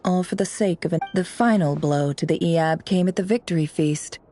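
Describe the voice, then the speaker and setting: A composed, knowing scholar from a fantasy game, acted by a woman. Her voice sits on the low side and is very mellow and soft-edged. She recounts things in measured, formal phrases. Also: slight background noise, natural voice. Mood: measured, grave. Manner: measured, deliberate, formal storytelling. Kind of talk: video game voice acting.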